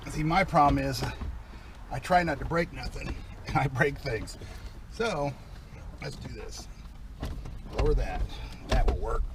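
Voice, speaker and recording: A man's voice: mid-range voice, unhurried, pauses often, noisy background. A man giving a folksy, informal hands-on tutorial in a casual, reassuring way while working in a vehicle. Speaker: DIY vlogger demonstrating a car repair. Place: inside or beside a Jeep.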